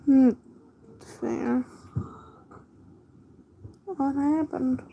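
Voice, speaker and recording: A woman's voice: sits high, fairly even intonation, extremely slow, vocal fry, phone-quality sound, a little hiss or hum. A woman chatting casually on a livestream as she works, in a laid-back way with trailing half-thoughts. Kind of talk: casual livestream chat while drawing.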